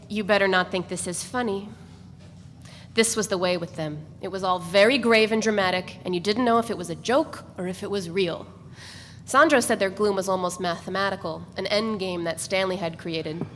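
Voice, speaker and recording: A woman narrates a novel at a live reading in a measured, steady voice. Her pitch sits in the middle range. A long room echo and a faint background hiss surround her. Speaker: narrator reader. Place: event space.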